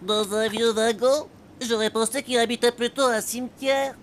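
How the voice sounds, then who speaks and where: A woman's voice: a little high, steady tone, normal speed, slight background noise, natural voice. A woman voicing an earnest, curious and sceptical schoolchild in a French cartoon. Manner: earnest, matter-of-fact, curious. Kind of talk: French animated cartoon dubbing.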